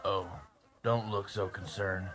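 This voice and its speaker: Man acting a low-voiced cynical slave gladiator. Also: fairly even intonation, slow pace, a crowd in the background. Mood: wry, fatalistic. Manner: mocking, fatalistic. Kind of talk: old-time radio drama acting.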